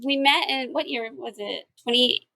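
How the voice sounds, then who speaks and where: A woman's voice: sits high, normal speed, creaky, sharp tone, dry recording, clean studio sound. A woman from Mississippi, a house church pastor, chatting as a podcast guest with light, playful humor. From Mississippi, US South. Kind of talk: casual podcast conversation.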